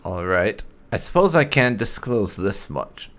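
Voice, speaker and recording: A man hosting a roleplay video game playthrough, speaking in a measured, theatrical, drawn-out way. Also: on the low side, big pitch swings, a bit bright. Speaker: gaming video host. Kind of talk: roleplay video game commentary, voicing own character's lines.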